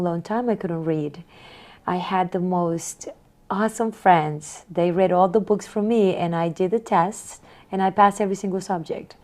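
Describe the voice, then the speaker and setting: Interview guest, woman in her forties from Honduras, lowish voice, reflective and soft-spoken. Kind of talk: interview answers.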